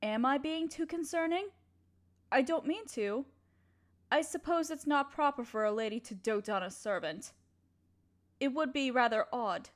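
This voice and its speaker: A young noble lady played by a woman speaks in a fairly high voice. She is kind and proper, with formal, genteel phrasing and a gentle hesitancy. It is an intimate audio drama addressed straight to the listener. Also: close to an everyday voice. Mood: modest, hesitant.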